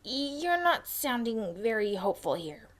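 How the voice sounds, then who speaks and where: A woman's voice: on the high side, big pitch swings, measured pace. Woman as a frank, sporty young pony. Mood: concerned. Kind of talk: dramatised fan fiction reading.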